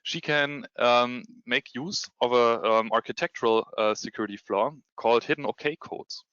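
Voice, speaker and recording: German-accented male presenter on a video call, halting and full of fillers, bright crisp tone. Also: medium pitch, no echo, lo-fi sound. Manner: hesitant, many ums and restarts. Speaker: security consultant presenting a demo. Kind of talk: webinar presentation with screen share.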